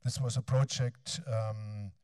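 A man in his fifties with a German accent gives a lecture in a large bright hall on a handheld mic, hesitating often with ums and restarts. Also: on the low side, fairly even intonation. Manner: halting, frequent ums and restarts. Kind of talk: academic lecture, then reading a text aloud.

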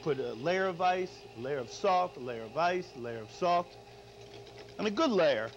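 Middle aged guy chef explaining stuff in a kitchen, slightly high voice, bit muffled lo-fi sound. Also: lively intonation, moderate pace. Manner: frequent tag questions "all right?". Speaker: chef, cooking instructor. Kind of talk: cooking demonstration, unscripted instruction. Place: studio kitchen. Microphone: lapel mic. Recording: dull lo-fi audio, background noise.

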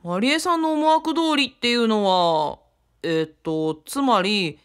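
A woman's voice: on the high side, unhurried, smooth, lightly acted. A woman playing a curious, supportive young man in a radio drama, casual and encouraging.

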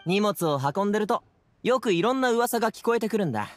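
Lively, easygoing young game character. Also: male voice, sits high, fast talker, soft background music. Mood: relaxed, amused. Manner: casual youthful masculine speech. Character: easygoing, headstrong young man, an aspiring stage performer. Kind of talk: anime game voice acting.

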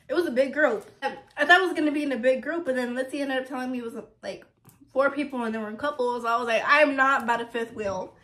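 Casting: early-twenties woman, under the weather, high-pitched and relaxed, phone vlog. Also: fast. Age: 20s. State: slightly sick, voice a little deep. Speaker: YouTuber. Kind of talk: casual chat. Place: bedroom. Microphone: phone camera.

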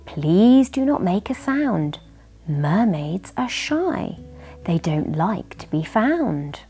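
A woman's voice: medium pitch, sing-song, slow pace, mellow, soft background music. A woman voicing a strict female octopus schoolteacher in a storybook, firm and instructing. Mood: hushed warning.

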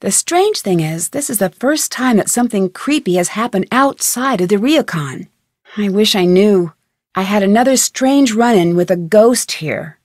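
An actress playing a curious, level-headed young female detective in an adventure game, speaking in an earnest, composed, clearly enunciated way. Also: mid-range voice, expressive, normal speed, no room sound, studio quality, natural voice. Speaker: voice actor, game protagonist. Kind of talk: scripted adventure game dialogue.

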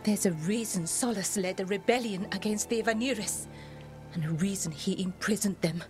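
An actress playing an earnest, self-critical expert in ancient magic in a video game cutscene. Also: female voice, mid-range voice, normal speed, music in the background, natural voice. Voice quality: earnest, serious.

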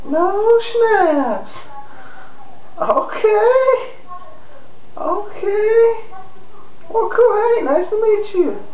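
Squeaky drunk frat dude puppet, loud n immature, echoey room. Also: male voice, lively intonation, extremely slow, pauses often, dull audio, heavily acted. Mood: breezy. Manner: taunting, slangy, shouting. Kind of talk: amateur comedy puppet show. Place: living room, behind a table. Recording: echoey living room, faint noise.

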